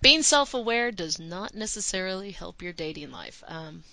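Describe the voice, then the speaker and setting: A woman from Texas with a mild Texan accent, co-hosting a casual podcast, chatty and quick to laugh. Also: on the low side, vocal fry. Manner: chatty, laughs often, animated asides. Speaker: podcast co-host.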